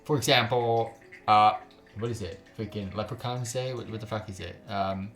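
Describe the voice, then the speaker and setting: A man's voice: fairly low pitch, fairly even intonation, moderate pace, a bit bright. A man live-streaming a game, chatting casually in a joking, sweary, slangy way and reading text aloud with stumbles.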